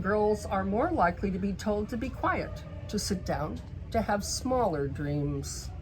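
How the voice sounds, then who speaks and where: A woman reads a picture book aloud to children, pausing for emphasis and speaking directly to her young listeners. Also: a little high, poor audio. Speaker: children's story reader. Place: bookstore in a shopping center.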